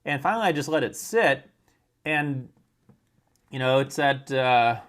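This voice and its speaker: An American man with a medium-pitched voice talks through a product as a reviewer. His delivery is informal and explanatory, with a plain, matter-of-fact manner. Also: animated, unhurried.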